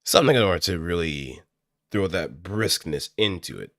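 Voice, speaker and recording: A man talking casually and off the cuff as he explains voice acting, thinking aloud in an animated, demonstrative way. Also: low voice, normal speed, gravelly, dry recording, studio quality. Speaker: amateur voice actor. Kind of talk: casual explanatory talk, improvised voice acting tutorial. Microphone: close studio microphone through audio interface.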